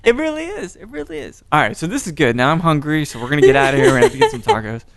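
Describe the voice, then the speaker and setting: A man hosting a podcast, chatting casually and cracking jokes. His voice is somewhat high for a man and swings widely in pitch. The sound is dry and close, with no room echo. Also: huge pitch swings. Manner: playful, jokey, quick to laugh. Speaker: podcast host. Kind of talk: casual interview chat.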